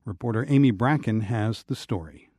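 A man hosting a radio news program, reading scripted introductions in a measured, even delivery. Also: fairly low pitch, slight background noise.

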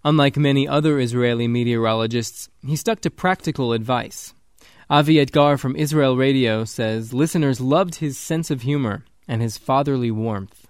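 A male radio reporter reading a scripted news story in an even, clear manner. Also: mid-range voice, normal speed, dry recording, clean studio sound.

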